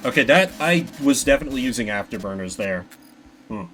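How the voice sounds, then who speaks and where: A man's voice: medium pitch, expressive, fairly quick, fairly bright tone, a little hiss or hum. A man doing casual live gameplay commentary, rambling in a self-deprecating way with casual swearing. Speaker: video game streamer.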